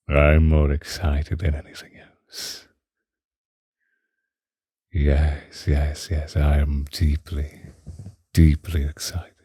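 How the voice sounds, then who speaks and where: An ancient vampire lover, acted out by a man, possessive and doting, murmurs in an intimate ASMR roleplay. The voice is extremely low and very dark, with a husky, breathy edge that often slips toward a whisper. The old-fashioned romantic phrases come slowly and close to the mic. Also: no room sound, full character voice. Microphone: close microphone, dry.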